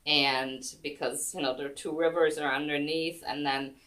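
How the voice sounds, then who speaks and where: Woman, low register, chatty guest storyteller, recorded from a distance. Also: steady tone, normal speed, fairly bright tone. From Germany. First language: German. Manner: chatty, rambling, many ums and restarts. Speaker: guest, chair yoga instructor. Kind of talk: casual storytelling interview. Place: senior center room.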